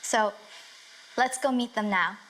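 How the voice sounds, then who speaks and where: A woman co-hosting a TV magazine show, presenting smoothly. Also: fairly high pitch, unhurried, very noisy. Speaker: TV program co-host.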